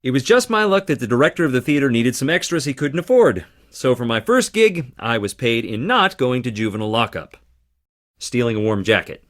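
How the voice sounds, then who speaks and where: An actor playing a charming, theatrical theater performer with dramatic flair in a video game. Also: male voice, medium pitch, big pitch swings, a little fast, a bit bright. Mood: guarded, conversational. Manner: theatrical, dramatic, swinging between playful and intense. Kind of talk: video game character dialogue.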